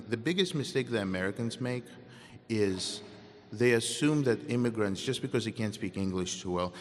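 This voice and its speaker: A young man from Ukraine with a Russian accent, answering student questions candidly at a convocation and often breaking off and restarting. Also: on the low side. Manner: candid, self-interrupting, conversational. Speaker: guest speaker. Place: large hall. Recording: long hall reverb.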